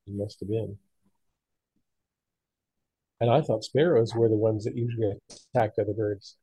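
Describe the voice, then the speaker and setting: Low, mellow, husky man chatting. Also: fairly even intonation, normal speed, no echo, rough recording. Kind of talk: casual chat.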